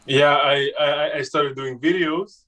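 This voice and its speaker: Halting young man chatting casually. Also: on the high side, fairly even intonation, a bit bright, far from the mic. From Switzerland. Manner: halting, with many restarts and fillers. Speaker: guest. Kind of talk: casual conversation.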